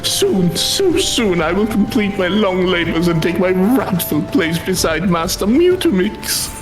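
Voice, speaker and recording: Give the shrill voice of a vain little fantasy-game schemer. It is very high and swoops wildly, gloating in florid, archaic phrases, with music underneath. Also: male voice, sing-song, measured pace, echoey room, low-quality recording. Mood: gleeful, eager.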